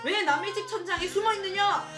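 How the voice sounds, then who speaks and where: Woman as a stern, pompous scolding village gentleman. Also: very high-pitched, brisk, reverberant, recorded from a distance, over loud music, pitched above the performer's own voice. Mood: indignant.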